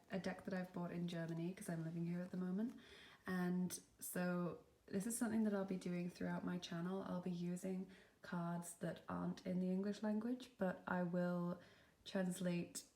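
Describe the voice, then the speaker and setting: A young woman with a cold and a slightly sniffly voice, giving a gentle, reassuring tarot reading with some softly whispered asides. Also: on the low side. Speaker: tarot reader. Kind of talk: pick-a-card tarot reading.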